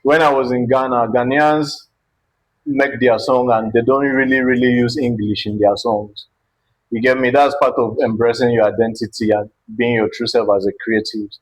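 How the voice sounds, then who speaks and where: A man's voice: mid-range voice, steady tone, normal speed, poor audio. A man with a Nigerian accent speaking as an interview guest, explaining his views earnestly and conversationally. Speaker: guest, multidisciplinary artist.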